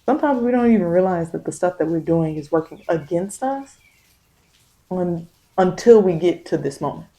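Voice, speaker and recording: Mellow-voiced woman giving spiritual tarot guidance. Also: on the low side, animated, moderate pace. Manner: repetitive, emphatic phrasing addressed directly to the listener; informal vernacular speech. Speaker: tarot reader, spiritual content host. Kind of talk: spiritual tarot guidance, ASMR-leaning monologue.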